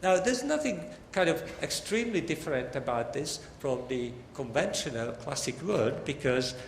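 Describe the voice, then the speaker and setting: A man's voice: fairly high pitch, lively intonation, moderate pace. Echoey older man lecturing. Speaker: academic lecturer, professor. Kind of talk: technical academic lecture. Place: large lecture hall. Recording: echoey hall with long reverb, background noise.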